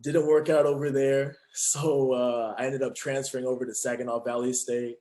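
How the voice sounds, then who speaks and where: A young man from California tells his story in an earnest, steady way, his mid-pitched voice even and plain. He answers at an unhurried pace. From Fresno, California. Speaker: guest, trainer. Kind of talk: casual interview.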